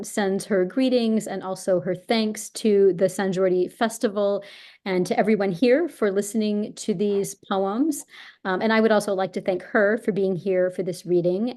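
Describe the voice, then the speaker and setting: A woman reading a translated poem in a measured, solemn way, then thanking listeners. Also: medium pitch, fairly even intonation, warm tone. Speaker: translator and reader. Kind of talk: slow poetry reading.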